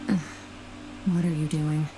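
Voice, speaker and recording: A woman voicing an aloof, powerful young warrior in a video game, coldly detached, deadpan and cryptic, with a disdainful edge. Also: deep voice, a little slow, music in the background, rough recording.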